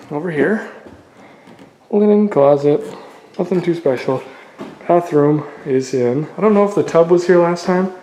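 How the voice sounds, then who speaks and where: A man's voice: a little high, mellow, a little hiss or hum. Chatty man in an echoey empty house. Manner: rambling, chatty, unsure asides. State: sore throat from paint fumes. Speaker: vlogger touring his house under construction. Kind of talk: casual walkthrough vlog. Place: empty house interior with bare walls. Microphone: phone camera held by the speaker.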